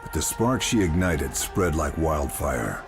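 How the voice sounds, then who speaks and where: A man playing a gruff, stoic wartime sniper in a video game, terse, measured and dry. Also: extremely low pitch, big pitch swings, exaggerated voice. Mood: sombre narrating. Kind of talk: video game cutscene acting and narration. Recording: loud cinematic music behind.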